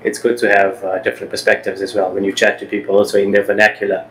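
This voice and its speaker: Lower-voiced middle-aged male host, halting. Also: level tone, gravelly, fairly bright tone, slight background noise, poor audio. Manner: halting, restarts questions, supportive. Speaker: podcast host. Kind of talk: interview questions. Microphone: over-ear headphones, video call.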